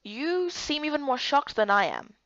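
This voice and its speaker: Frustrated young game heroine acted by a woman, plaintive, high, lively, recorded dry. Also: moderate pace, dry recording, lo-fi sound. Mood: resigned.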